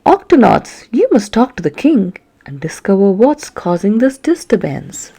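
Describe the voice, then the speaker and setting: A woman voicing a learned, wordy animal professor in a children's storybook, with pompous, deliberate phrasing. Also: medium pitch, big pitch swings, warm tone, slightly rough, heavily acted. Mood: concerned, instructive. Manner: pompous, long-worded, deliberate.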